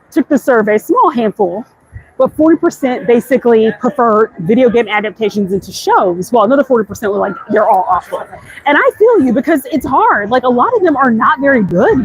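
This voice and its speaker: A woman's voice: on the high side, low-quality recording. A woman chatting casually and candidly to viewers as she hosts a walking livestream, speaking emphatically and directly. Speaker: content creator hosting a live walk-and-talk stream. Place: outdoors, walking through a busy theme park. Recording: outdoor crowd and ride noise. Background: park ambience, passing ride noise.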